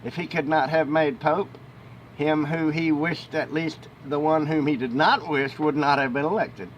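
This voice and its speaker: A man's voice: fairly even intonation, moderate pace, muffled recording. A man reading a historical book aloud as an amateur narrator, with emphatic phrasing and the odd stumble. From United States. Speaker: amateur audiobook reader. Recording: noisy home recording with ambient rumble.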